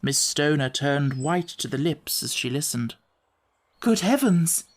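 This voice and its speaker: A man reading literary fiction as an audiobook narrator, measured and precise in his storytelling. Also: medium pitch.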